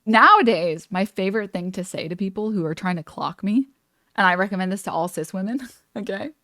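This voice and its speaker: A woman's voice: medium pitch, a little fast, pushed and bright, dry recording, studio quality. A young woman streaming, chatting casually with lots of "like" and "you know" as she meanders through her thoughts. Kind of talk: casual reaction stream commentary.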